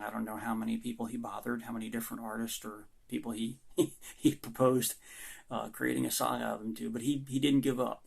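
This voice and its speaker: A man who is losing his voice answers interview questions about writing song lyrics, speaking in a halting, thoughtful and sincere way with many pauses. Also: medium pitch, vocal fry, breathy edge. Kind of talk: recorded self-interview answers.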